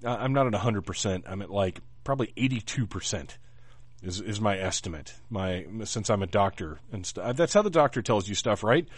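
A man's voice: fairly low pitch, lively intonation, fairly quick, slightly rough, no room sound. A man hosting a podcast, a little nasal and scratchy from a cold, talking in a rambling, self-deprecating way. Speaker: podcast host. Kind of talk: casual podcast chat.

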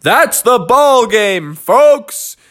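Man playing a high, breezy referee. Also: big pitch swings, a little slow, vocal fry, bright, forceful tone, exaggerated voice. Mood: breezy, official. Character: matter-of-fact, apologetic male referee.

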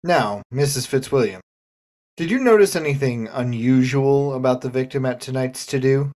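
A man's voice: medium pitch, moderate pace, darkish tone, close to an everyday voice. A man playing a patient police detective in a comic murder mystery reading, questioning suspects politely and insistently. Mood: polite, inquisitive.

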